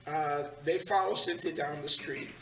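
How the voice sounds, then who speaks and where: A woman's voice: low-pitched, fairly even intonation, normal speed, fairly bright tone. A woman narrating a screenplay at a table read, plainly reading the stage directions with small stumbles. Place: large meeting room. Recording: echoey room, distant mic, faint noise.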